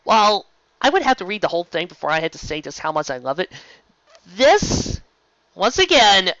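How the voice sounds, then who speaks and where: Bright, forceful fan-show host, stumbling and gushing. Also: medium pitch, sing-song, moderate pace, no room sound, dull audio. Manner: gushing, emphatic, often stumbling and restarting mid-word. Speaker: host of a fan fiction live-read show. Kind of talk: casual, rambling fan review.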